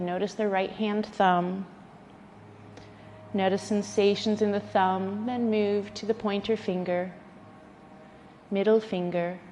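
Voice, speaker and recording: A woman guides a relaxation practice at a very slow pace, with gentle, soothing phrasing and pauses between instructions. Her medium-pitched voice rings in a long reverb, over faint background noise. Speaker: yoga nidra instructor.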